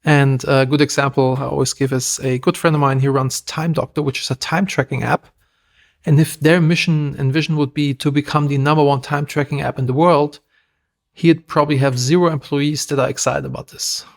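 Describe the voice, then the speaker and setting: A man with a German accent, a podcast guest telling stories in a lively, self-deprecating way. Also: mid-range voice, a little fast, vocal fry. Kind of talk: casual interview talk.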